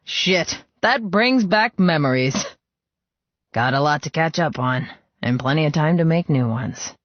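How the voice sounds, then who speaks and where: A man's voice: on the high side, lively intonation, a little slow, no room sound, muffled recording. A man voicing an older biker club boss and fond mentor, earnest, sweary and sentimental, in an audiobook. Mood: eager, pleased.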